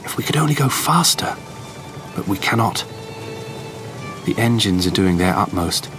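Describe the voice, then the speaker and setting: A man's voice: fairly low pitch, animated, moderate pace, music in the background, lightly acted. An actor playing an earnest, determined young Victorian husband, narrating his diary in a formal, urgent manner for an audio drama. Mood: urgent, anxious.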